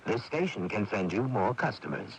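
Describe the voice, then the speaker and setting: A man's voice: fairly low pitch, sing-song, moderate pace, slight background noise, poor audio. A man reading a radio advertisement as a commercial announcer.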